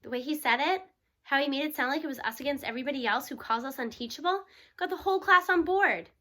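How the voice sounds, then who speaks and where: A woman with a very high, bright voice reads a children's story aloud. She narrates in the first person with animated expression, acting the tale out as she goes. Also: quick pace. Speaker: audiobook reader.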